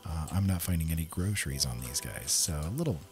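Low-voiced man doing casual game commentary. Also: lively intonation, fast, a little mellow. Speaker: gaming video host. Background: game soundtrack music.

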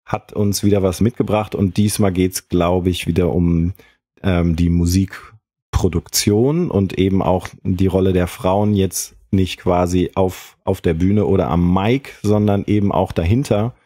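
A man's voice: sits low, fairly even intonation, measured pace, dark tone. A man hosting a podcast in a casual, conversational style. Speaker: podcast host. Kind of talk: casual chat.

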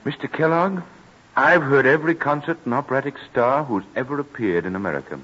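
An actor playing a formal, emphatic colonel and patron of music in an old radio drama. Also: male voice, medium pitch, fairly quick, fairly bright tone, breathy edge. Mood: impressed, insistent. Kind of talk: old-time American radio drama acting. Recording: muffled, lo-fi old radio broadcast.

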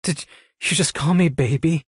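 A man's voice: a little high, moderate pace, breathy edge, dry recording, studio quality, pitched above the performer's own voice. A man voicing a tearful but resolute young woman in an audiobook, raising his voice to an earnest, pleading tone.

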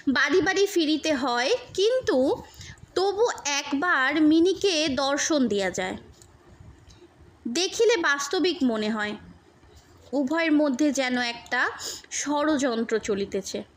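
A woman reading a literary short story as an audiobook narrator, in measured, deliberate phrases with pauses between them. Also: high-pitched, expressive.

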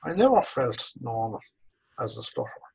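Gravelly older Irish man, husky and reflective. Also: mid-range voice, very animated, low-quality recording. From Ireland. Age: 60s. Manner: measured, reflective, slows down on key phrases. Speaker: stuttering course instructor. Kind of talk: interview guest telling his story. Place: home office with bookshelves, indoors. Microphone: video call webcam.

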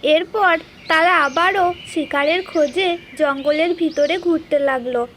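A woman's voice: very high voice, measured pace, a bit bright. A woman narrating a scripted children's story as the storyteller. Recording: clearly noisy, birdsong behind. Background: birdsong and nature sounds.

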